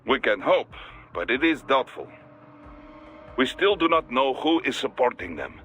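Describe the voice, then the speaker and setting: An actor playing a gruff, businesslike Russian security boss in a video game, with a Russian accent. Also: male voice, mid-range voice, big pitch swings, a bit bright, slight background noise. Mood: wry, businesslike. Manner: businesslike, measured.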